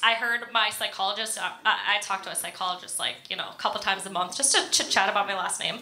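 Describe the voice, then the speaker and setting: Bright, giggly American woman doing stand-up. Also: mid-range voice, fast. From Florida, United States. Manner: giggles often through own delivery; self-deprecating, casual storytelling. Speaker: stand-up comedian. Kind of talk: stand-up comedy set. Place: indoor comedy club stage. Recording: echoey room, live comedy club.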